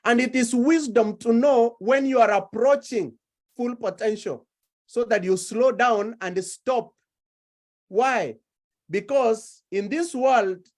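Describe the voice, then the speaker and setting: Slow, deliberate woman preaching. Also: fairly high pitch, darkish tone. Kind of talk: sermon.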